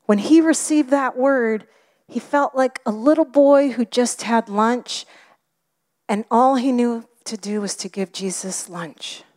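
High-voiced woman preaching, hushed to emphatic. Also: takes it slowly. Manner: expressive, often drops to a whisper. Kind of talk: sermon.

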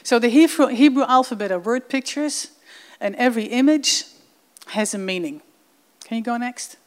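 A woman's voice: a little high, moderate pace. Woman presenting with enthusiasm. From Netherlands. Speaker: guest presenter. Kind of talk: slide presentation to a congregation.

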